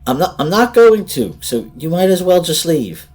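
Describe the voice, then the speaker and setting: A man's voice: on the high side, very animated, moderate pace, pitched above the performer's own voice. A man voicing a terrified, defiant woman, with a shaky, panicky delivery. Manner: shaky, pleading, shouted outbursts.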